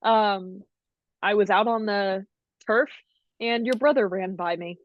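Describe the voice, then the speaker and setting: A woman's voice: medium pitch, slow. A young adult woman with an American accent chats casually with a friend as a podcast co-host. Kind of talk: casual chat between friends. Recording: dry but dull, lo-fi call audio.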